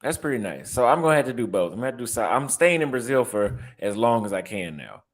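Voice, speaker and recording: A man's voice: medium pitch, very animated, quick pace. A young man with a Texas accent, chatting casually in a vlog and reacting aloud as he browses apartment listings, repeating his phrases. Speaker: vlogger and barber.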